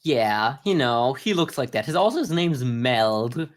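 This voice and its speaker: A man's voice: mid-range voice, big pitch swings, measured pace. A man co-hosting a podcast, giving casual, wry criticism in a discussion of anime. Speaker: podcast co-host.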